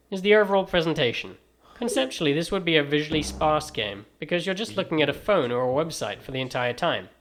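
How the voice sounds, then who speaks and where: Wry transatlantic man with lively delivery. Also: on the high side, slight background noise. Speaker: video game reviewer. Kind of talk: scripted review narration.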